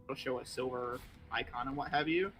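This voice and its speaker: A man's voice: mid-range voice, brisk. A man hosting a stream, casually walking a friend through a game with lots of fillers. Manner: relaxed, rambling, lots of fillers. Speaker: streamer host. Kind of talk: casual gameplay explanation.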